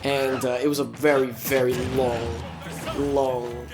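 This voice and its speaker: A young man in casual gaming chat who giggles often and lets his sentences trail off into low mumbles. He sits at a middle pitch, and video game music plays clearly behind him. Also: level tone, unhurried. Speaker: gaming channel co-host.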